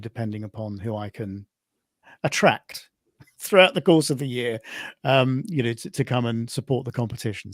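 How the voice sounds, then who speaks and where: A man with a British accent hosting an informal online presentation as a competition organiser, speaking in a conversational, halting way. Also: medium pitch, a little mellow, no room sound, clean studio sound. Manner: conversational, halting, often repeats "you know".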